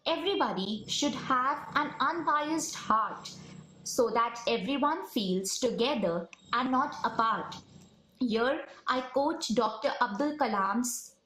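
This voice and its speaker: A woman reciting a rhyming poem in a measured, deliberate way. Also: fairly high pitch, lively intonation, fairly bright tone, slight background noise. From India. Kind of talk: poetry recitation. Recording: echoey room.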